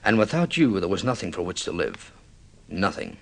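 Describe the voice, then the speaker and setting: An actor playing a middle-aged film director, intense and mournfully insistent, with theatrical, deliberate delivery in vintage radio drama style. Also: male voice, deep voice, huge pitch swings, vocal fry, exaggerated voice. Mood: grave, reproachful.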